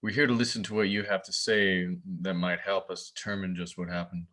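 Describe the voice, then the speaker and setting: A man plays a police officer in a drama table read, formal and polite. The voice is deep and everyday. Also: fairly even intonation, brisk, bright, forceful tone.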